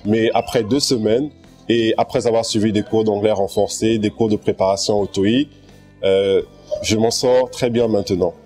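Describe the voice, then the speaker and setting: Low, mellow young Central African man. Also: fairly even intonation, normal speed. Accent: Central African French. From Cameroon, of Congolese descent. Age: young adult. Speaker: accounting student. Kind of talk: interview testimonial. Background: guitar music.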